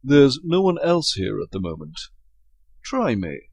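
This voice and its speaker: An actor playing a nosy, dryly absurd British colleague in a comedy audio drama, with a British accent. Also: male voice, mid-range voice, sing-song, unhurried, a little mellow, slightly rough, no room sound, full character voice. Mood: droll, curious.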